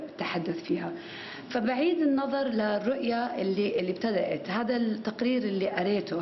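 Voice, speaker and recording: A woman's voice: mid-range voice, reverberant, muffled recording. A woman gives a conference talk from a podium microphone. She gestures as she speaks and uses many fillers and restarts. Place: conference hall with stage.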